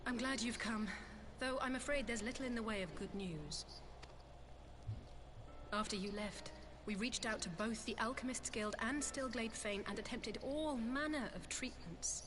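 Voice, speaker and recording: A woman's voice: fairly high pitch, normal speed, noisy background, close to an everyday voice. An actress voicing a worried, self-blaming young woman scholar in a video game cutscene, speaking earnestly in formal wording. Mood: anxious, downcast. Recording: game audio with music behind.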